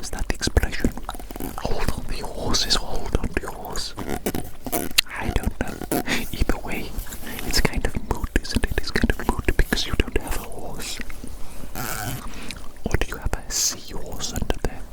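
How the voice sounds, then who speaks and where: A woman performing an ASMR roleplay as a playful evil scientist, speaking in mock-formal, repeated phrases. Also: a little slow. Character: playful evil mad scientist doctor, self-declared genius.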